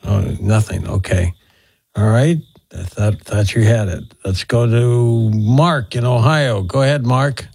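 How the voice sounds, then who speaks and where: A man's voice: fairly low pitch, a little slow, creaky, a little mellow, slightly rough, no room sound. A man hosting a call-in radio show in an easygoing way, moving the calls along. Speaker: radio talk show host.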